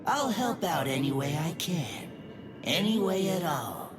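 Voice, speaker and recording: A woman's voice: on the low side, very animated, measured pace, noisy background. A woman voicing a young inner spirit in an anime video game, casual and teasing with a sly, scheming streak. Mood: breezy.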